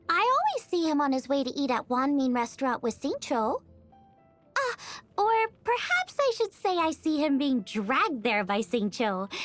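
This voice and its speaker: A woman voicing a bubbly, eager, food-obsessed young woman chef in an expressive video game style, chatty and full of exclamations. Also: sits high. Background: music.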